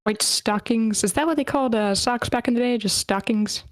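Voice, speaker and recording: A woman's voice: fairly high pitch, moderate pace, no echo. A woman casually hosting an online script table read, with a playful manner.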